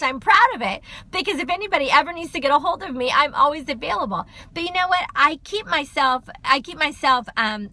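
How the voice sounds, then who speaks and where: A middle-aged woman, a counselor chatting loudly and quickly to viewers on a phone livestream. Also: high voice. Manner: loud, fast, chatty, addresses viewers as y'all. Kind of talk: casual livestream talk to viewers.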